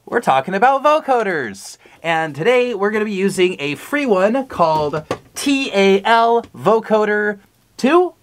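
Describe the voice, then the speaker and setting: A music vlogger with a very lively, swinging pitch that sits in a middle range. The delivery is playful and informal, like a casual home tutorial. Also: unhurried. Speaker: music tutorial video host. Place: small cluttered home music studio room.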